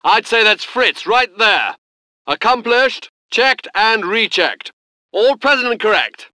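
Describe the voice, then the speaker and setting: A man's voice: high-pitched, lively intonation, takes it slowly, sharp tone, dry recording, exaggerated voice. An actor voicing an alert British tank crewman in a war game, giving short, clipped military reports. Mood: alert, brisk. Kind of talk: short scripted game unit responses.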